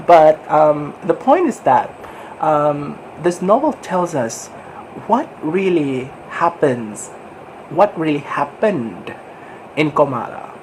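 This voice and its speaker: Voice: mid-range voice, sing-song, slow pace, darkish tone, slight background noise, rough recording. Sniffly book vlogger with a cold. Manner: chatty and rambling, with frequent little laughs. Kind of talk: casual book review chat.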